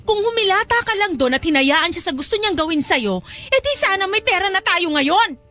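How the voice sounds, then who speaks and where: A woman's voice: extremely high pitch, big pitch swings, fairly quick, bright, forceful tone, faint music behind, full character voice. A woman playing a domineering mother in a radio drama, nagging and cutting in her delivery. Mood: angry scolding. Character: domineering, scolding mother pushing daughter toward money. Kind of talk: Tagalog radio drama acting.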